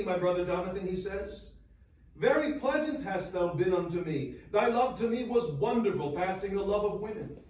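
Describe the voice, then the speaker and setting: A man's voice: sits high, darkish tone. An actor playing a grieving churchman bidding a lost friend farewell, in a solemn, declamatory stage recitation. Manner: solemn, declamatory, lingering on phrases. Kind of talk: dramatic stage recitation of verse and sermon. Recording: distant, very echoey live hall sound.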